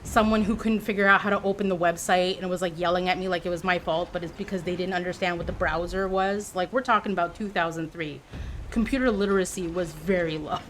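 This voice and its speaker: A woman in her thirties, a streamer chatting casually with her viewers and telling animated stories. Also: on the low side, fast, a little hiss or hum. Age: 30s. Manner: chatty storyteller, animated asides. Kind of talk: casual chat with live chat.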